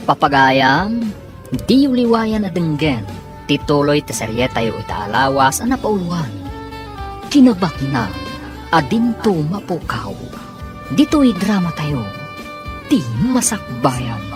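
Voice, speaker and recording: A woman narrates and announces a radio drama in an expressive, lively way. Her voice is low, with clear music playing beneath her. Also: unhurried. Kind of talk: scripted narration. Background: clear background music.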